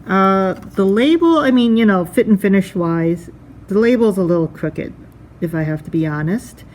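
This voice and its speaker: A woman's voice: medium pitch, lively intonation, normal speed, a little mellow, a little hiss or hum. A woman giving a casual hands-on product review, thinking aloud in a conversational way.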